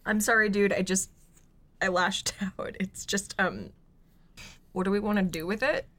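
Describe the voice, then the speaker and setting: A woman's voice: medium pitch, moderate pace, a bit bright, lightly acted. A woman playing an anxious, squeamish but supportive woman in a scripted amateur audio drama. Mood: apologetic. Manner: hesitant, flustered, apologetic.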